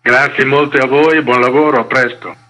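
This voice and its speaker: A man's voice: medium pitch, steady tone, normal speed, bright, forceful tone, faint music behind, poor audio. A man giving articulate, assertive political commentary in a radio interview. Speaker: interviewed activist and book editor.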